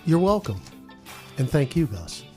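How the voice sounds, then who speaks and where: A man's voice: on the high side, sing-song, a little slow, music in the background. A man talking casually in a sports roundtable as a radio announcer on the panel.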